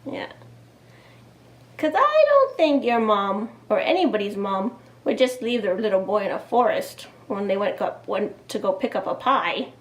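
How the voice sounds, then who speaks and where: A woman in her thirties reading a picture book aloud, expressively and deliberately, with explaining asides to young children. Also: medium pitch, sing-song. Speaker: children's storyteller. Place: small indoor room, seated before a cloth backdrop.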